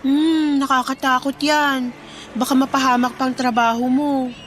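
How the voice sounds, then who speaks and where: A woman acting a sensible, caring young fast-food worker and girlfriend, gentle and reasoning in radio drama dialogue. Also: high-pitched, flat delivery. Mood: reassuring.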